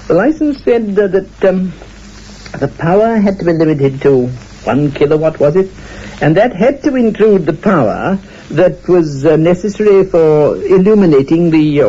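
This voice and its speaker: A droll radio pioneer of the 1920s, acted by a man, with a mellow, husky voice that swings widely in pitch. He reminisces wittily, halting and digressing as he goes. The sound is dull, like old radio. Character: witty, rambling 1920s radio engineer reminiscing. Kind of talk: humorous reminiscence in a radio play.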